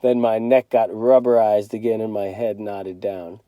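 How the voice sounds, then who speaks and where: A man reading a children's novel aloud as a teacher would, in an expressive storytelling style. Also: on the low side, moderate pace, dry recording, lo-fi sound.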